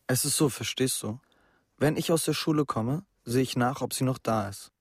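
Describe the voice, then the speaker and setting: A man's voice: mid-range voice, normal speed, husky, no room sound, close to an everyday voice. Young male actor as anxious teenage schoolboy for radio drama, mid-range voice, earnest confiding delivery, very dry recording. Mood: worried. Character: anxious schoolboy worried about his older brother. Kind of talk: naturalistic radio drama dialogue.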